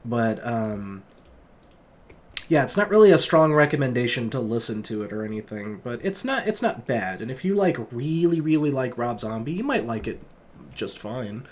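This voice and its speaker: A man's voice: medium pitch, big pitch swings, moderate pace. Sick man rambling about an album. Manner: rambling, many fillers and restarts. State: sick, sniffly voice. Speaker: music vlogger. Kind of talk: casual spoken album review.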